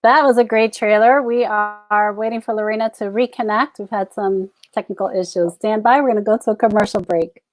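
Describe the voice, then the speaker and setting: A woman's voice: medium pitch, steady tone, fairly quick, few pauses, no room sound, low-quality recording. A woman from New York with a toned-down New York accent, hosting a live entertainment interview in an effusive way and laughing often. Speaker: host.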